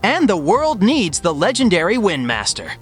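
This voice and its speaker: A woman's voice: medium pitch, huge pitch swings, moderate pace, soft background music. A woman voicing a plucky, weary young male hedgehog hero with a casual, wry manner. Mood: earnest.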